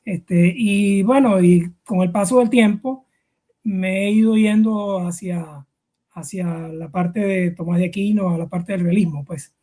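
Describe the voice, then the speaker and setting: A man's voice: high-pitched, fairly even intonation, a little slow, warm tone. A middle-aged man with a Venezuelan accent, explaining things informally as an interview guest, hesitant and full of fillers and repeated words.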